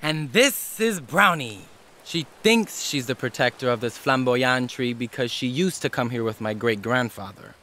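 An actor playing a friendly, talkative young village boy with a gentle, chatty manner in an audio drama. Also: medium pitch, lively intonation, normal speed, a little hiss or hum.

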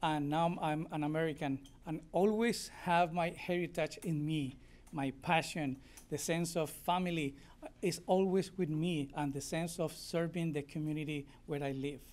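A man's voice: on the high side, steady tone, a little mellow. A man born in Spain and raised in Colombia, a native Spanish speaker, gives an earnest, heartfelt thank-you speech at a podium microphone in a council meeting room. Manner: earnest, heartfelt, slightly halting. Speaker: community member accepting a proclamation.

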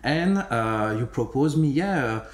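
A man's voice: medium pitch, animated, takes it slowly. A man in his thirties, from Ukraine and raised in Belgium, telling his career story in an interview with many fillers and restarts. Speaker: guest, company director. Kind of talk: conversational interview. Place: studio room with sofa. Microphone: desk microphone. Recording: roomy echo.

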